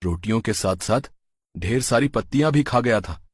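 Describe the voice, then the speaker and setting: Humble, kind-hearted farmer for a cartoon tale, played by a man; husky, earnest, dramatic. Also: lowish voice, lively intonation, normal speed, dry recording, clean studio sound. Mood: fond. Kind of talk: cartoon story character voice.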